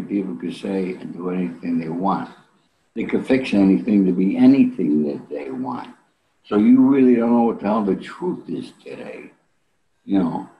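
An older man in his seventies or beyond with a low voice talks in a casual interview. He is blunt and salty, stopping often between phrases, speaking over a video call from a home office. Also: dull audio. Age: 70s or older. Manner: blunt, salty, with frequent pauses. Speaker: interview guest.

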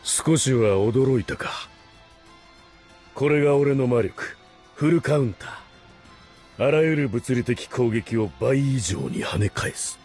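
An actor playing a cocky, battle-hungry demon man in an anime game, with rough, taunting, drawling speech. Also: mid-range voice, animated, takes it slowly, pauses often, darkish tone. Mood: smug. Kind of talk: Japanese anime game voice acting. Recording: music behind the voice.

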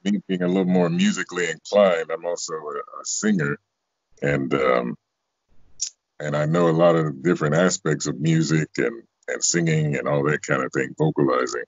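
A middle-aged American man with a very deep bass voice, chatting casually as an interview guest on a video call. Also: normal speed, no room sound. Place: small room at home, indoors. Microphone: video call, close to device. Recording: dull lo-fi video call audio.

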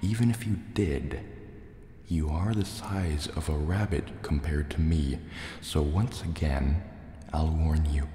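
Man voicing a very deep, slow sea dragon. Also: dark tone, a little hiss or hum. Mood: wary, threatening. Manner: slow, deliberate, threatening yet hesitant. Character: huge, proud, wary male sea serpent dragon, menacing. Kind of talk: soft-spoken ASMR roleplay monologue. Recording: roomy cave reverb, faint water ambience. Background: water sounds and cave ambience.

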